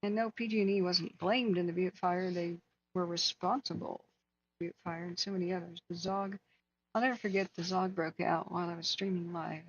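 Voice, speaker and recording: Hoarse woman hosting a livestream. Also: fairly low pitch, steady tone, a little fast, dull audio. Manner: earnest, talks to chat viewers by name. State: hoarse, losing her voice. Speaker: wildfire tracking livestream host. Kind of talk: casual livestream chat.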